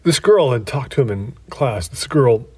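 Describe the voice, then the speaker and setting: An actor playing a middle-aged American man who recalls his youth candidly, in a halting, conversational, self-deprecating way, as if interviewed for an audio drama. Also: medium pitch, expressive, dark tone, husky, no room sound. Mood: reflective, candid. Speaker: interview subject. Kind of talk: naturalistic audio drama acting as interview reminiscence.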